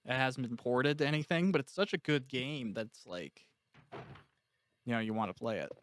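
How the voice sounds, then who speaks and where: A man's voice: mid-range voice, moderate pace, no echo, clean studio sound. A man hosting a podcast interview, chatting in an informal, talkative way. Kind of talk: casual interview chat.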